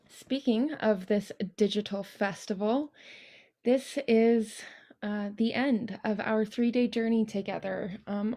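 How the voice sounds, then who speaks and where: A young woman hosting an arts festival, introducing performers in an earnest, halting way. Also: medium pitch, warm tone. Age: young adult. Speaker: festival host.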